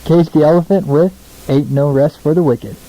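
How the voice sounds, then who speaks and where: A man's voice: on the high side, normal speed, mellow, rough recording. A young American man hosting a casual radio show, chatty, informal and self-deprecating between songs. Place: small radio studio, alone.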